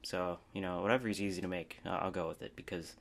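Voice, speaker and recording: Casual male video reviewer, lowish voice, informal and rambling, self-deprecating, unscripted delivery. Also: fairly even intonation, quick pace, gravelly. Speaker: app review video host.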